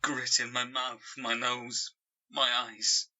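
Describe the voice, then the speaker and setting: An actor playing a traumatised man in an audio drama, recounting his ordeal in a halting, haunted monologue. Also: mid-range voice, flat delivery, pushed and bright, muffled recording. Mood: dread, intense. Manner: slow, halting, haunted delivery with repeated words. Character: traumatised man reliving a savage dog attack.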